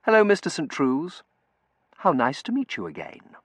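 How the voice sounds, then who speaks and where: A narrator voicing a kindly, sensible young woman with a quiet, cultured and polite manner in a storybook reading. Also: medium pitch, expressive, fairly quick, dry recording, voice pushed up.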